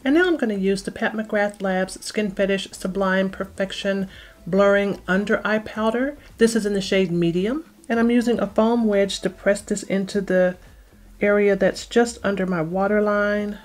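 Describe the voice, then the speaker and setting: A woman's voice: medium pitch, fairly even intonation, moderate pace, a little mellow, soft background music. A middle-aged woman casually talking viewers through a makeup tutorial step by step, in an explanatory way. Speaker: beauty vlogger.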